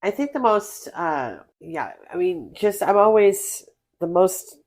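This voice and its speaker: A woman's voice: lowish voice, animated, slow pace, a little mellow. A woman co-hosting a podcast, talking casually in discussion. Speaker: podcast co-host.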